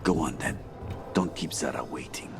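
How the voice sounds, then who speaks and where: An actor playing a suave, proud assassin in a video game, with a theatrical, pointed delivery. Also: male voice, extremely low pitch, normal speed, creaky. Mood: resentful. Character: suave, proud male assassin with wounded pride. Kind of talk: dramatic video game acting. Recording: game cutscene with loud background music.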